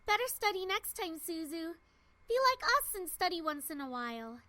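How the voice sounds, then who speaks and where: A woman voicing a studious, prim teenage schoolgirl in a visual novel, proper and gently chiding. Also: extremely high pitch, very animated, normal speed, no room sound, voice pushed up, full character voice. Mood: smug. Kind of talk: scripted visual novel dialogue. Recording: music playing behind.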